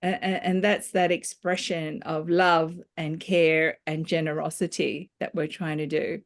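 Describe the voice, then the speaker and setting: A middle-aged woman with a notably low voice. She speaks reflectively, sharing personal experience with a discussion group, and gets expressive when quoting herself. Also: measured pace.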